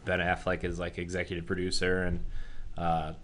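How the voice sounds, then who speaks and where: Low-voiced man chatting as podcast co-host. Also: monotone, normal speed, fairly bright tone, slight background noise. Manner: slangy, opinionated, says "dude". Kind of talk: casual chat about movie and game news.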